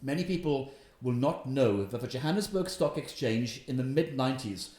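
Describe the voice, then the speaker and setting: A Welsh-accented man in his fifties recounts his career as an interview guest, with animated, emphatic delivery. His voice sits at an ordinary middle pitch. The recording carries a noticeable roomy echo from a room in his home. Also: moderate pace. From Wales. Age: 50s. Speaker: investment guest. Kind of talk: storytelling in an interview.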